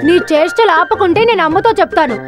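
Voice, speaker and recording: A woman's voice: very high voice, fast talker, over loud music. A woman voicing a young elder brother in a children's cartoon, anxious, nagging and scolding. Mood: worried, scolding. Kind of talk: animated children's cartoon acting.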